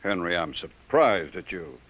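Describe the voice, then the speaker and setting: A man's voice: deep voice, very animated, a little slow, highs cut off, full character voice. A man playing a dismissive, patronising but decent bureau chief, brusque and condescending, in 1950s radio drama style. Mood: sceptical, scolding.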